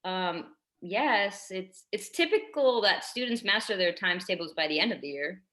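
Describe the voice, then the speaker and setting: A woman playing a tactful, apologetic primary schoolteacher in a staged drama reading, hesitant and gently persistent. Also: medium pitch, expressive, moderate pace, lightly acted. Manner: hesitant, careful, conciliatory.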